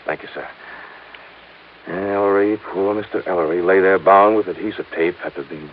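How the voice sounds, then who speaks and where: Hesitant, low-voiced young male assistant played by an actor. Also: steady tone, normal speed, narrow, tinny audio, lightly acted. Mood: shaken, hesitant. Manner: hesitant, stammering, polite. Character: deferential, apologetic young male assistant and treasurer. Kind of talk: 1950s radio drama acting. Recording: hissy, band-limited old radio recording.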